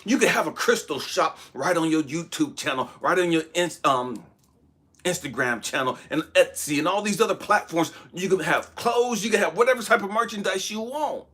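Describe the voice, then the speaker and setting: A man giving a blunt, profane motivational talk, shouting one moment and dropping to hushed asides the next. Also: sits high, animated, moderate pace. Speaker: motivational speaker. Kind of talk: impassioned motivational monologue.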